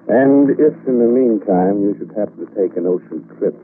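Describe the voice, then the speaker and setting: A man's voice: fairly low pitch, normal speed, phone-quality sound. A man hosting a 1940s radio mystery show in a teasing, mock-sinister, chuckling manner. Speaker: horror show host. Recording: thin, noisy vintage radio recording.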